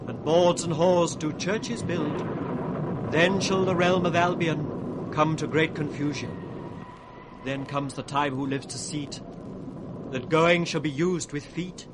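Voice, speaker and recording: Actor as wry, high-voiced court jester. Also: male voice, close to an everyday voice. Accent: British. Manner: singsong, mocking, rhythmic. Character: wry, riddling male court fool. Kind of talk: 1960s British radio Shakespeare acting. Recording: noisy 1960s radio recording.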